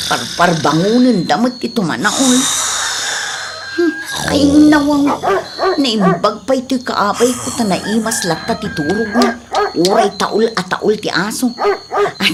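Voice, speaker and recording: A woman's voice: medium pitch, lively intonation, a little slow, heavy background noise, lightly acted. A woman playing a nagging, suspicious, grumbling wife in a comedy radio drama, with a Filipino accent. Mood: sleepy grumbling. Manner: complaining.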